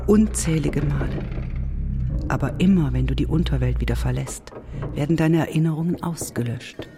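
A man playing a weary, coaxing dweller of the underworld in a German radio play, measured and knowing. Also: fairly high pitch, natural voice. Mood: wistful, explaining.

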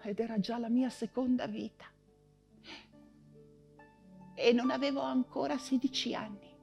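An actress playing an imprisoned, nostalgic Russian exile writer in an intense, halting theatrical monologue, repeating words for emphasis. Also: female voice, fairly high pitch, fairly even intonation, vocal fry, darkish tone. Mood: wistful, remembering. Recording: music under the voice. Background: music.

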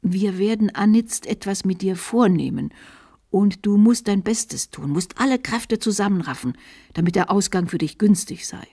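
A woman voicing an old bishop, fawning and fiercely zealous, with a quavering, shrill voice in a storybook reading. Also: animated, normal speed, warm tone, husky, no echo, clean studio sound. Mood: wheedling, tender. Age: older. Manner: wheedling or fiercely commanding. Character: old bishop, fawningly tender, then shrieking with zealous malice.

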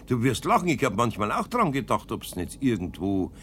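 A man's voice: huge pitch swings, normal speed, fairly bright tone. A man playing a kindly, long-suffering older master carpenter in a children's radio play, homely and grumbling. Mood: resigned, tender. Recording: faintly noisy 1970s radio recording, rumble.

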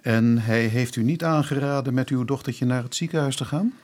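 A man with a Dutch accent playing a dutiful, sympathetic police inspector in a radio drama, measured and formal in his questioning. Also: medium pitch, darkish tone, natural voice. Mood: probing. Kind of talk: naturalistic radio drama acting.

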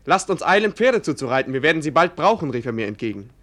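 A man's voice: a little high, sing-song, fast, fairly bright tone. Earnest, dutiful young nobleman. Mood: earnest, open. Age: young adult. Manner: earnest, formal, respectful. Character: dutiful, open-hearted young nobleman, obedient son. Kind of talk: 1940s German radio play acting.

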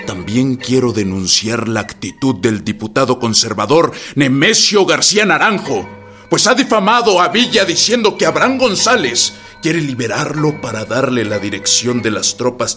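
Un actor que interpreta en una radionovela a un abogado defensor formal, retórico e indignado, con acento mexicano y tono declamatorio. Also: male voice, medium pitch, pushed and bright. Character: formal, indignant male defense lawyer, rhetorical. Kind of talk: radio drama acting.

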